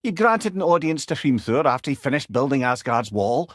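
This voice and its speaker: A man's voice: medium pitch, sing-song, fairly quick, no room sound, studio quality. A man voicing a witty, wise old storyteller in a video game, with a Scottish accent and an eloquent, wry, conversational manner. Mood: curious, prompting. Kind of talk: dramatic video game dialogue.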